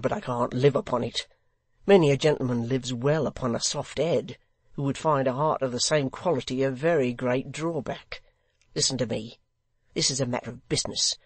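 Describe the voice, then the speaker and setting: A man's voice: mid-range voice, dry recording, natural voice. A man voicing a sly, mock-courteous blind extortioner in a theatrical, insistent storybook reading.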